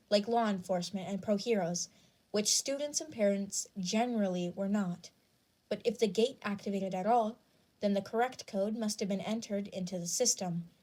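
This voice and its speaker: A woman's voice: medium pitch, a little mellow. A woman reading scripted fan fiction as the narrator.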